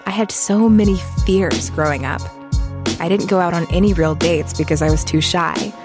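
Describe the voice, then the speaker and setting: An actress playing a shy, guarded small-town librarian, confiding and reflective in an audio drama monologue. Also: female voice, fairly low pitch, moderate pace, lightly acted. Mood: wistful. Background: loud music.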